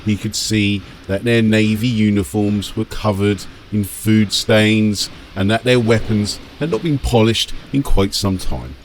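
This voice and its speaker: A man narrating a scripted fantasy audiobook dramatically, with measured, emphatic phrasing. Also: fairly low pitch, steady tone, slight background noise, low-quality recording.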